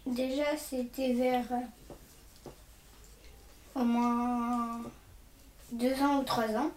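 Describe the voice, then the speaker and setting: A teenage girl answering interview questions hesitantly. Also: high voice. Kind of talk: casual spoken testimony. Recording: echoey room, faint noise.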